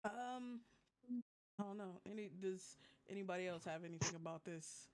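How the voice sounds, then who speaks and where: A man's voice: sits high, normal speed, smooth. A man hosting a podcast in casual chat, putting questions to the panel.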